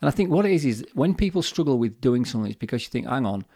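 A man's voice: mid-range voice, animated, very fast, warm tone, breathy edge, no room sound, studio quality. A man co-hosting a podcast, explaining his views in a fluent, chatty way with acted-out quotes. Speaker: podcast co-host. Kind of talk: casual chat. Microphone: desk microphone.

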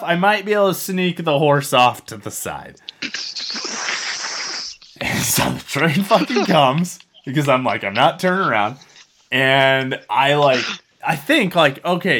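A man's voice: medium pitch, a bit bright. A man telling a story casually as a podcast co-host, rambling and swearing freely.

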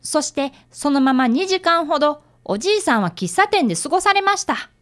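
An earnest schoolboy apprentice detective in a radio drama. The voice is high and swings animatedly. He narrates and speaks with courteous, careful formality. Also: expressive, a little fast, a bit bright. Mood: earnest, observant. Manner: polite, formal narration and dialogue. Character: earnest, polite young boy apprentice detective.

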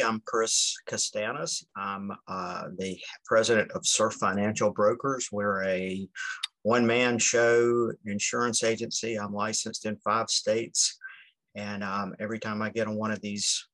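Casual man with a bright, dry voice. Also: on the low side, fairly even intonation, normal speed, dry recording, rough recording. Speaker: insurance broker. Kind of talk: self-introduction at online meetup.

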